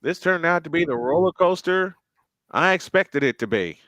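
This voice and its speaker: A man's voice: fairly high pitch, expressive, moderate pace, fairly bright tone, no room sound. A man doing live play-by-play commentary on a football game, chatty and thinking aloud between plays.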